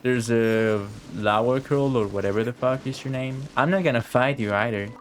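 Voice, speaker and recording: A man's voice: medium pitch, normal speed, faint music behind. A man giving casual, offhand live game commentary, swearing and muttering to himself as he plays. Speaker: game streamer.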